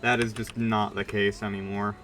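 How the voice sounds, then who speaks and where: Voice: low-pitched, flat delivery, moderate pace, a bit bright. A streamer chatting casually during a game livestream in a rambling, informal and emphatic way. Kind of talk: casual livestream chat while gaming.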